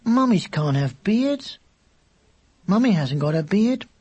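A narrator voicing a silly, chatty little boy who asks endless questions in a slow, innocent, drawn-out way in a children's story. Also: medium pitch, big pitch swings, darkish tone, slightly rough, highs cut off, voice pushed up, exaggerated voice. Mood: cheeky, playful.

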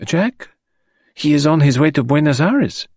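A man's voice: on the high side, sing-song, a little slow, darkish tone, breathy edge, no room sound, studio quality, pitched above the performer's own voice, exaggerated voice. A man voicing a dignified, grieving middle-aged French widow who speaks in a composed, formal, restrained way. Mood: earnest.

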